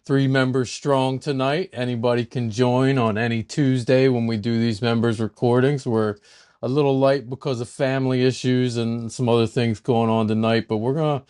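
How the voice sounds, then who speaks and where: Guy hosting a sports pod, smooth clear voice, rambly and chatty. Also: medium pitch, fairly even intonation, normal speed, a little mellow. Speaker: podcast host. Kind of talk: casual sports talk.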